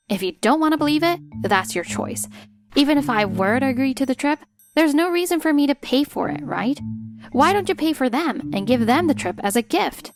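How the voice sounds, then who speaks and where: An actress playing a fed-up, sharp-tongued wife in a dramatized manga dub, sarcastic and pointed. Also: female voice, high voice, lively intonation, normal speed. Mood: exasperated, pointed. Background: music.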